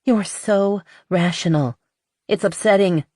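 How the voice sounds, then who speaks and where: A woman voicing an anxious, evasive young romantic heroine in an audiobook, halting and pleading. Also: mid-range voice, dark tone, no room sound, studio quality, pitched above the performer's own voice. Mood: exasperated.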